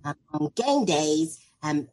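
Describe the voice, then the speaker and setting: A woman's voice: sits low, sing-song, slow pace, a little mellow. A woman, a spirit program coordinator, answering interview questions in a chatty, upbeat way with many fillers.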